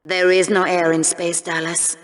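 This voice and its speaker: An actress playing a gleefully sadistic co-anchor of a combat TV show in a video game, with polished presenter delivery and menacing relish. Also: female voice, lowish voice, level tone, moderate pace, natural voice. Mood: snide. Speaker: sports show co-host. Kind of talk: mock newscast commentary.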